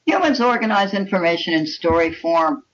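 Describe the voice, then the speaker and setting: A woman's voice: on the low side, lively intonation, normal speed. An older woman speaking conversationally as an author guest, emphatic and full of firm opinions. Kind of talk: conversational interview. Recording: muffled, distant microphone.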